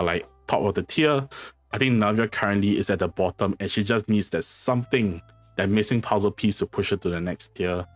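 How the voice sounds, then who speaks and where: A man hosting a gaming channel, talking through a game guide in a casual, rambling way with frequent self-corrections. His voice is a little hoarse because he is losing it. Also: on the low side.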